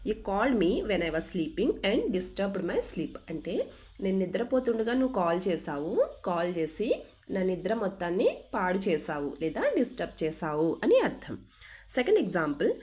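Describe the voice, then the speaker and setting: A young woman with a Telugu accent leads an English lesson at a mid-range pitch. She reads each example sentence slowly and deliberately, spelling out every word, then explains its meaning. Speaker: English teacher. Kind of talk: language lesson narration.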